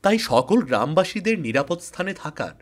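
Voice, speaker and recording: A man voicing a formal, measured radio newsreader in a cartoon. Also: a little high, big pitch swings. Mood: grave. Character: formal radio newsreader giving flood warning.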